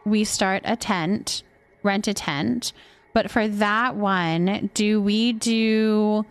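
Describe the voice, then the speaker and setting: A woman's voice: mid-range voice, steady tone, very slow, clear, resonant tone, no room sound. A woman hosting a live game stream, chatting casually and thinking aloud as she goes.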